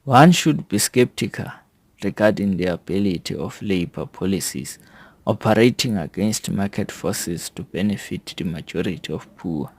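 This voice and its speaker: Man reading aloud, lowish voice. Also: animated, a little mellow. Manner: formal, halting with slips on words. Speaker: reader of an article. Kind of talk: read-aloud narration of an academic article.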